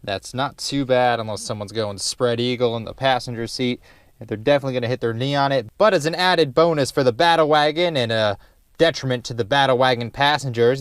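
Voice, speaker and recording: A man's voice: mid-range voice, a bit bright, clear, resonant tone, no room sound, studio quality. A man giving a casual, unscripted DIY how-to narration in a rambling, wry, casually profane way inside a car. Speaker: DIY car project host.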